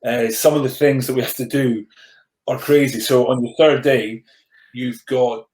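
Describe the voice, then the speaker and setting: A man's voice: medium pitch, fairly even intonation, normal speed, darkish tone. A man in his thirties with a mostly controlled stammer, speaking in an ordinary mid-range voice. He tells his story earnestly and reflectively, with frequent restarts and repeated words. The sound is echoey, as if recorded in a bare room. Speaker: podcast guest, rugby player turned coach. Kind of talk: candid personal storytelling in conversation.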